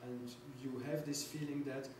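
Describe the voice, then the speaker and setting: A man's voice: mid-range voice, level tone, normal speed. Stammering man in a humming echoey hall. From Belgium. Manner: stammering, repeats words. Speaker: audience member in discussion. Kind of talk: thoughtful discussion remark. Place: large public hall. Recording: echoey hall, distant mic, hum.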